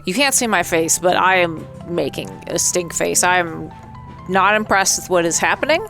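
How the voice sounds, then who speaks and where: A woman's voice: fairly low pitch, lively intonation, moderate pace, vocal fry, fairly bright tone, faint music behind. A woman hosting a let's play with casual, playful commentary, chatting and reacting aloud.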